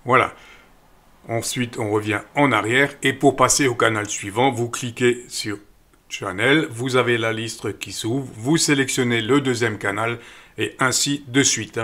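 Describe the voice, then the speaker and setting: A middle-aged man calmly walking viewers through an app step by step, with frequent fillers. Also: mid-range voice, measured pace. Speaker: radio equipment channel host. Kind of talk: screen-recorded app tutorial voice-over.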